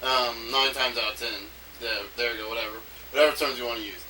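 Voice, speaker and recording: A man giving an unscripted, rambling monologue as an amateur audio log, haltingly, repeating himself and trailing off mid-phrase. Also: medium pitch, steady tone. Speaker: amateur game designer recording an audio log. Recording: noisy amateur recording, distant from mic.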